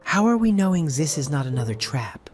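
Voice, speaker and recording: A woman's voice: deep voice, very animated, fairly quick. A woman voicing a wary cartoon animal fighter, with stilted, formal foreign-sounding phrasing, in a comic dub. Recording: voice under loud background music.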